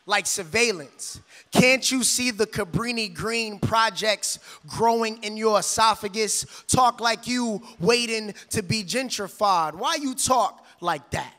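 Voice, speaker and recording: Male spoken word poet: bright, forceful high voice, declamatory and rhythmic, in a reverberant hall. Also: slow, hardly any pauses. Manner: declamatory, emphatic, rhythmic delivery. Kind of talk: spoken word poetry performance.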